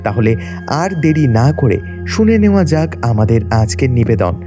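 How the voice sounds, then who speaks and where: A man's voice: medium pitch, sing-song, a little slow, music in the background. A man presenting an audio story with a scripted introduction.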